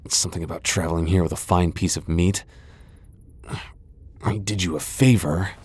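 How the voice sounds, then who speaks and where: A man's voice: sits low, fairly even intonation, normal speed, darkish tone, slightly rough. A man playing a proud, sardonic vampire lord in a fantasy ASMR roleplay, with a dry, sighing delivery. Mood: dry, disdainful. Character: proud, sardonic male vampire lord, cornered yet defiant. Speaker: voice actor in a roleplay audio.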